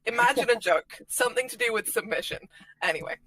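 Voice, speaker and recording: A woman's voice: fairly high pitch, lively intonation, fast, pushed and bright. A woman chatting casually on a podcast panel in a playful, joking manner. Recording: slightly distant from the mic.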